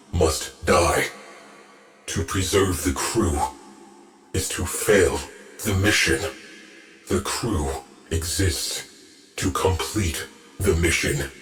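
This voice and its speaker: An actor playing a cold, mournful male ship AI who speaks in terse, clipped reports. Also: sits low. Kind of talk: game voice acting.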